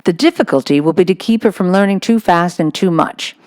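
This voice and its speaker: A woman's voice: lowish voice, brisk, a little mellow, close to an everyday voice. A woman voicing a cheerful young soldier father in a storybook, speaking with indulgent affection. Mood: fond, amused.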